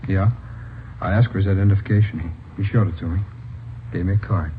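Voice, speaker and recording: An American actor playing an anxious, respectful young policeman in 1950s radio drama style, halting and pleading. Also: low-pitched, steady tone, close to an everyday voice. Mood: earnest, explaining. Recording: old radio, narrow band, noisy.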